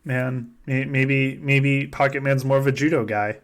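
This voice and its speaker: Male podcast host, mid-pitched, loose and jokey, casual banter with plenty of swearing. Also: steady tone, a little slow. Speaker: podcast host running a fan survivor game. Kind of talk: casual joking chat.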